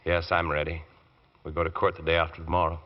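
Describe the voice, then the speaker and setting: An actor playing a troubled, firm defense lawyer with a clipped manner in a 1940s radio drama. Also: male voice, sits low, flat delivery, fast, very noisy, lightly acted. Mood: grave. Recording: dull vintage radio recording.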